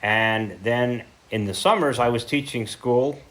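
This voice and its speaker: A man in his seventies with an American accent, speaking informally as a heritage lecturer, telling his story reflectively with pauses and self-corrections. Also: medium pitch, fairly bright tone. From central Idaho, United States. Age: 70s. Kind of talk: informal talk to a local group.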